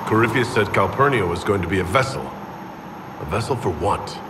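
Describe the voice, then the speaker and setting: A man's voice: fairly low pitch, fairly quick, in a large hall, heavy background noise, lightly acted. An actor playing a male inquisitor in a fantasy video game, asking direct questions.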